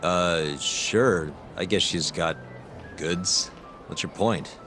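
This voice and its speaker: An actor playing a wry, tough investigator in a video game, talking in a dry, casual, understated way. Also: male voice, sits low, slow pace, fairly bright tone, faint music behind. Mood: reluctant, awkward. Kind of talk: video game cutscene acting.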